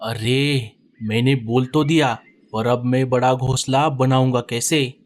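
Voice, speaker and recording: A man's voice: mid-range voice, fairly even intonation, a little slow, lightly acted. Man voicing a grumbling lazy sparrow husband. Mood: earnest. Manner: grumbling, pleading. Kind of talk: cartoon voice acting.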